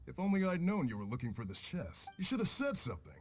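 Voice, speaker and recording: Animated, earnest farmhand. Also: male voice, on the high side, fast talker, a little hiss or hum. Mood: eager. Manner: earnest, insistent. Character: earnest male farmhand, aggrieved yet eager to please. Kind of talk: video game voice acting.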